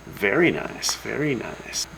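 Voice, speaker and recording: A man with a gravelly, creaky voice plays a folksy post-apocalyptic radio DJ. He talks casually into the broadcast, slipping in wry, teasing asides. A faint noise sits behind his voice. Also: on the low side, big pitch swings, slow, a bit bright, rough recording. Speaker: radio host.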